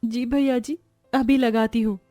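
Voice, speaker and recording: A woman playing a kind, dutiful young mother and daughter-in-law in a cartoon story, gentle and respectful. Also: on the high side, fairly even intonation, measured pace, no echo, clean studio sound, soft background music, lightly acted. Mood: obliging. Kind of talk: animated cartoon story acting.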